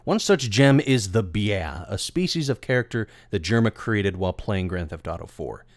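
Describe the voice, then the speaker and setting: A man's voice: lowish voice, expressive, moderate pace, dry recording, clean studio sound. A man narrating a scripted story for his videos in a theatrical, wordy storytelling manner. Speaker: video creator and voice actor.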